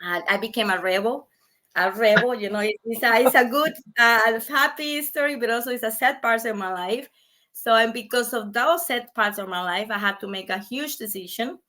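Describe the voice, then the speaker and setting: Female guest, native Spanish, Costa Rican accent; bright, forceful voice, animated casual storytelling with repeats for emphasis. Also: on the high side, normal speed. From Costa Rica. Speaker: podcast guest.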